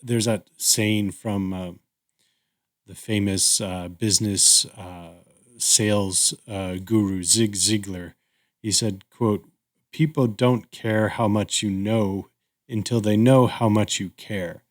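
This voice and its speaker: A man co-hosting a reflective conversation, speaking thoughtfully and haltingly as he searches for words. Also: lowish voice, steady tone. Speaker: podcast host.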